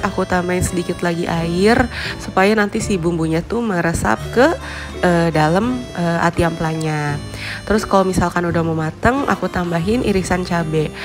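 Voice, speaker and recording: Homemaker vlogger, young woman, nasal and hoarse from a cold, chatty with small laughs, music bed underneath. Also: lowish voice. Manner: chatty, friendly, with little laughs and fillers. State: stuffy nose and hoarse from a cold. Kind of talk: casual voice-over narration. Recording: voice-over with clear background music.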